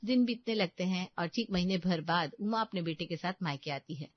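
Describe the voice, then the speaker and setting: A woman's voice: on the low side, fairly quick, no echo, highs cut off. A woman giving a scripted narration of a story.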